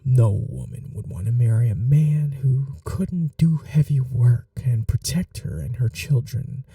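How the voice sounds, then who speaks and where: A bitter, possessive young vampire, voiced by a man in an ASMR roleplay, talking slowly in a dark, gravelly voice. He speaks softly, almost confessing, and sinks into whispers now and then. The mic is dry and set back a little. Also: medium pitch, no echo, recorded from a distance, heavily acted. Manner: slow, soft-spoken, confessional, drops to whispers.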